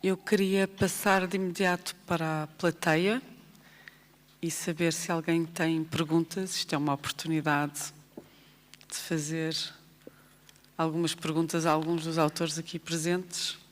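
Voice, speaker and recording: A moderator with a European Portuguese accent briskly opening an audience question round. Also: mid-range voice, flat delivery. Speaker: panel moderator. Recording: distant voice with long hall reverb.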